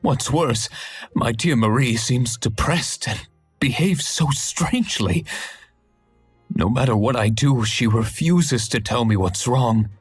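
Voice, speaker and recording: An actor playing an earnest, anxious young husband pleading for help in a game cutscene. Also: male voice, medium pitch, slow, no echo, soft background music. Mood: worried. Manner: worried, pleading, slightly husky. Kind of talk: hidden-object game cutscene.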